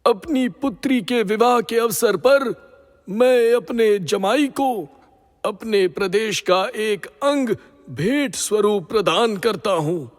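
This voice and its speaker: A man's voice: high-pitched, animated, slow. An actor playing a gracious, fatherly serpent king in a mythological audio drama, speaking formally and ceremoniously. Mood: ceremonious, proud. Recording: long reverberant hall echo.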